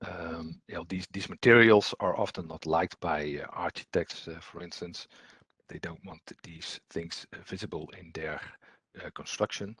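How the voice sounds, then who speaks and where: A man giving an explanatory technical presentation as a trainer, unscripted, in a halting, hesitant way with repeated words. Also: deep voice, fairly even intonation, fairly bright tone, breathy edge, no echo.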